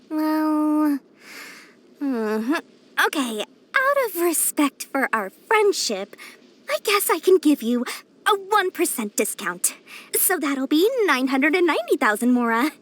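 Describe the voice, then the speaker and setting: A pint-sized, money-hungry female merchant from a video game. Her voice sits very high and swings up and down with theatrical flair. She boasts and wheedles like a born schemer, smugly cheerful in every sales pitch. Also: fairly bright tone, full character voice. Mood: wheedling, smug. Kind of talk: video game character voice lines.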